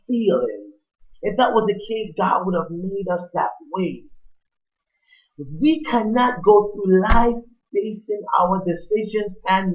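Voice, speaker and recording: A male preacher delivers an oratorical sermon in a high-pitched voice. He moves slowly and emphatically through ornate, repeated phrases. The recording is slightly dull and lo-fi. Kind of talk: sermon with rhetorical repetition.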